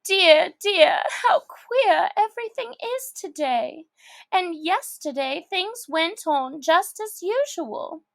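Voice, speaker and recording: A woman's voice: very high voice, expressive, slow, gravelly, pitched above the performer's own voice, exaggerated voice. A woman voicing a dreamy, fretful little girl who exclaims and muses theatrically to herself in a storybook reading. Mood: bewildered.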